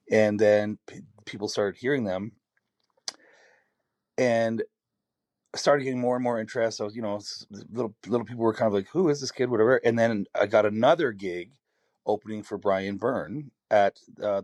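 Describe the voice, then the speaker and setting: Raspy canadian guy, lowish voice, rambles and restarts a lot, dry close sound. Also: fairly quick, darkish tone, no room sound. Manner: candid, rambling storytelling with many restarts. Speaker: singer-songwriter guest. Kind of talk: podcast conversation.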